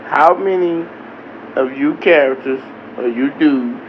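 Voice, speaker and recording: A man's voice: on the high side, takes it slowly, highs cut off, a little hiss or hum. A man hosting a live reaction stream, talking in casual, profane banter straight to his viewers. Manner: animated, talks straight to viewers. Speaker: livestream reaction host.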